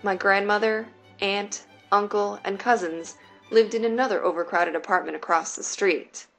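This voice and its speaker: A woman in her late twenties from New York City, raised on Mandarin Chinese, reads a personal essay in a measured, deliberate way. Her voice carries a steady vocal fry at a middle pitch, and soft music plays faintly underneath. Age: late 20s. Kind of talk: reading a personal essay aloud.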